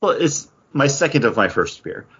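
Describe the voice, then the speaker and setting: A man's voice: medium pitch, very animated, normal speed, a bit bright, slightly rough, muffled recording. A man co-hosting a podcast in a casual, rambling, conversational way. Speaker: podcast co-host. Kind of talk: casual chat.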